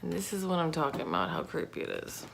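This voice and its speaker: A woman's voice: low-pitched, very animated, fast talker, creaky, crowd noise. A woman telling true crime stories in an informal, conversational vlog style, with slangy asides. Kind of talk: casual true crime storytelling.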